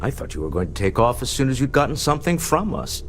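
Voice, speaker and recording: A man's voice: medium pitch, very animated, fast talker, close to an everyday voice. An actor playing a suave, shrewd businessman in a space video game, with a polished, theatrical, persuasive delivery. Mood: smooth, persuasive. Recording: faint background ambient noise.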